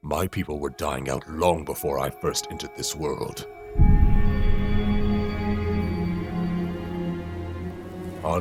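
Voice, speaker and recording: A man playing a proud, menacing, volatile warlord king in a theatrical comic dub, with a grandiose, sardonic delivery. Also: rumbling low. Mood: bitter, menacing.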